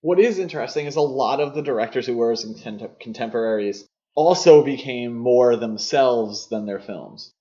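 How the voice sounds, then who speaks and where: A man's voice: mid-range voice, moderate pace, mellow, highs cut off. A man co-hosting a podcast, talking through films in a casual, analytical, explanatory way. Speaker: podcast co-host. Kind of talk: casual film discussion.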